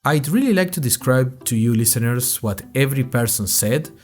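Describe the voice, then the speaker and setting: A man's voice: medium pitch, lively intonation, normal speed, a little mellow, soft background music, close to an everyday voice. Man playing an anxious, wry freshman podcaster. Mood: wry, musing. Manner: rambling, wry asides, self-conscious. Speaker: narrator and host of a fiction podcast. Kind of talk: scripted first-person audio drama monologue.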